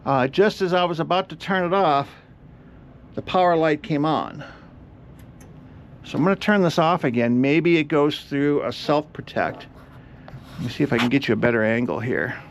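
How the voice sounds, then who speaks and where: A man's voice: a little high, normal speed, creaky, long pauses. A man giving a casual hands-on repair walkthrough, explaining each step aloud as he works. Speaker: host of an electronics repair channel.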